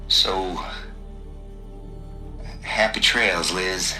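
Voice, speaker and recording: A man's voice: deep voice, fairly even intonation, very slow, bright, forceful tone, faint music behind, exaggerated voice. A man playing an uneasy, awkward facility colleague in a video game cutscene. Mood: awkward, subdued.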